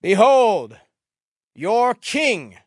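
Guy doing a forceful roman governor in a bible drama, raised voice, swings around a lot, exasperated. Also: sits high, lively intonation, measured pace, no room sound, clean studio sound, voice pushed up, exaggerated voice. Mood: proclaiming. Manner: loud, emphatic, declamatory.

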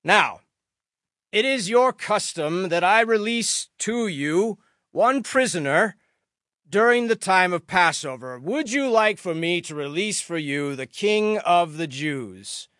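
A man playing a commanding, troubled Roman governor in a dramatic scripture reading, loud, emphatic and declamatory. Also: high voice, lively intonation, a little slow, dry recording, clean studio sound, voice pushed up, exaggerated voice. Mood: loud proclaiming.

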